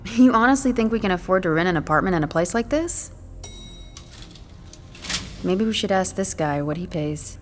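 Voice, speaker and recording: An actress as a young woman in a radio drama, exasperated and skeptical with her partner. She speaks naturally with dry sarcasm at an ordinary pitch, over clearly audible music. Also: expressive, moderate pace, pauses often, natural voice. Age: young adult. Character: sardonic, exasperated young girlfriend, practical and skeptical. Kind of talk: naturalistic audio drama dialogue.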